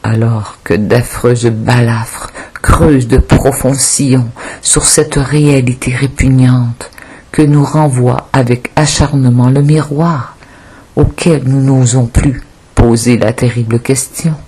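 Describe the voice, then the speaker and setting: Slow, very husky woman reciting poetry. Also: very deep voice, fairly even intonation, dark tone. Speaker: volunteer audiobook reader.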